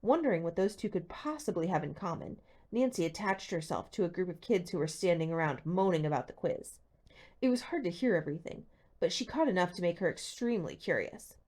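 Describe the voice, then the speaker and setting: A woman reading a teen mystery novel aloud as expressive scripted narration. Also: fairly low pitch, brisk. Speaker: audiobook reader.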